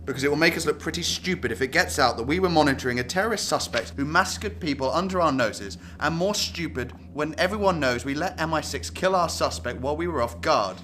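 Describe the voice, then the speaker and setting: An actor playing an indignant security service officer in a radio drama, sarcastic, accusatory and given to swearing. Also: male voice, a little high, animated, fast talker, hardly any pauses, bright, forceful tone, a little hiss or hum. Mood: scornful, accusing.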